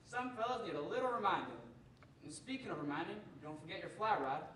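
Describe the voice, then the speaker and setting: A man's voice: high voice, animated, fast talker, a bit bright. Young guy as an easygoing country game warden dad, radio show on stage, distant and noisy. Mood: kindly, easygoing. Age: young adult. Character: folksy, kindly game warden father. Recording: echoey stage, distant mic, clear noise.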